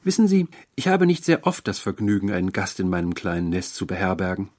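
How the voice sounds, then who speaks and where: A man's voice: sits low, very animated, fairly quick, a little mellow. A man voicing a sweet, doting but eerie old landlady, gushing and overly polite, in an audiobook. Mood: gushing, coy. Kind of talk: audiobook character voice.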